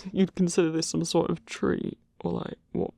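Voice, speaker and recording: A man plays a gruff werewolf boyfriend in an intimate ASMR audio roleplay. He talks slowly and haltingly in a gravelly, husky voice, bashful under the grumpiness. The sound is close and dry. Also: fairly high pitch, flat delivery, a little mellow, dry recording. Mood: gruff, flustered. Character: grumpy but tender, hesitant male werewolf lover in human form.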